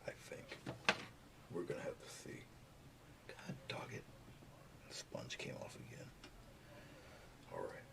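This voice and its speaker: A soft-spoken man with a low voice that does not project, talking casually to his live viewers as he paints at home. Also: slow, long pauses, in a whisper. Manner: soft-spoken, mumbling asides while working. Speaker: painting livestream host. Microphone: camera's built-in mic, not the lapel mic. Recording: noisy, low-volume webcam audio.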